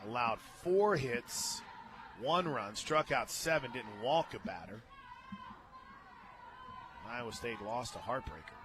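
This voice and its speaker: A man doing live play-by-play softball commentary on the radio, calling each play in short clipped phrases. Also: medium pitch, animated, slow pace, long pauses. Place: outdoor ballpark radio booth. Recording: outdoor crowd noise behind the voice.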